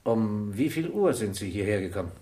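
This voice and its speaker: A man's voice: lowish voice, sing-song, fairly quick, dark tone. An actor in a German radio crime drama playing a male police inspector, formal, probing and dryly insistent. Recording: echoey room sound.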